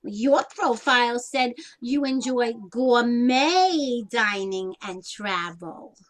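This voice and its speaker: A woman's voice: a little high, slow pace. A woman playing a blunt, unpolished older single aunt in a comic one-act play, wry and plain-spoken, with colloquial grammar. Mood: chatty. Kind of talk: one-act stage comedy acting.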